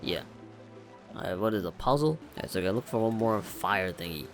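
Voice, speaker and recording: A man's voice: on the low side, expressive, a little fast, soft background music. A young man giving casual let's play commentary, chatty, slangy and amused. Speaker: gaming YouTuber.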